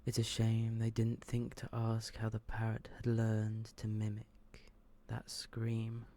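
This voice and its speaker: A man softly reading short horror stories in an ASMR style, slow and hushed, often slipping into a whisper. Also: fairly low pitch, completely flat delivery, a little hiss or hum. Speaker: ASMR video creator and host.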